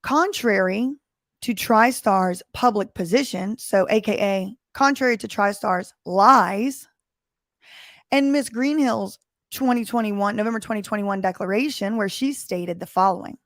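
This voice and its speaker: A woman lawyer with a hoarse, weakened voice, reading court papers aloud and explaining them emphatically in plain terms. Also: mid-range voice, measured pace, fairly bright tone, dry recording, studio quality. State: recovering from lost voice. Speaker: lawyer commentator. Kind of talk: reading and commenting on court documents.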